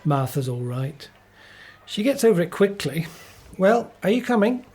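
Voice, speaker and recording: An actor playing a plain-spoken, easygoing disciple in a radio play, casual and matter-of-fact. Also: male voice, high voice, very animated, measured pace, darkish tone, husky, exaggerated voice.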